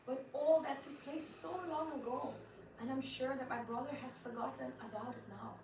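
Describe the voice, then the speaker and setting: A woman playing a sweet, anxious peacemaking queen, gentle and effusive, in a theatrical reading. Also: high-pitched, steady tone, normal speed. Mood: reassuring. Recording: echoey room, distant mic, background noise.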